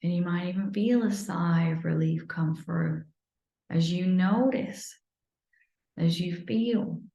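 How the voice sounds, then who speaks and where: A woman leads a guided meditation in a soothing, unhurried manner, slipping at times into near-whispers. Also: lowish voice, gravelly, warm tone. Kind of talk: guided meditation, improvised live. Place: indoor room, live online video session. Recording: roomy, echoey sound.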